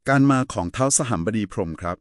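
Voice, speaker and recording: Man explaining with a lively, varied pitch. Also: quick pace, no room sound, clean studio sound. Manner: polite, didactic. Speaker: explainer in a two-person discussion. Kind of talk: conversational explanation of Buddhist scripture.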